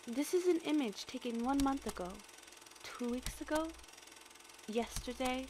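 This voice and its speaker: A woman's voice: high-pitched, measured pace, a little mellow, slight background noise. A woman playing an earnest, eager young military analyst in an audio drama, precise and deferential. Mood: earnest briefing. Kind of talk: scripted audio drama acting.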